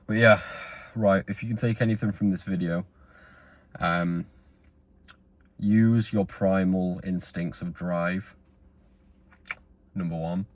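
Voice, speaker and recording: Earnest young man, very deep voice. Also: fairly even intonation, takes it slowly, long pauses, dry recording. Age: 20s. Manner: rambling, earnest, with fillers and restarts. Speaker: vlogger. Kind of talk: motivational talking-head monologue.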